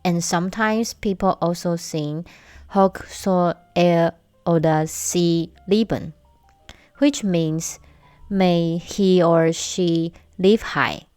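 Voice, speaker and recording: A young woman from Taiwan, hosting a casual solo podcast and telling stories slowly with light humor. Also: on the low side, a little mellow, clean tone, no echo, faint music behind. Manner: slow, measured storytelling with light humor. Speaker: podcast host.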